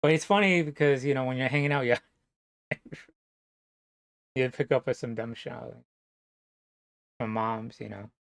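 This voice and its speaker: A man from New Jersey talking to camera in a rambling, casual monologue, halting and full of fillers, swearing now and then. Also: mid-range voice, fairly even intonation, vocal fry, no echo. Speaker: vlogger.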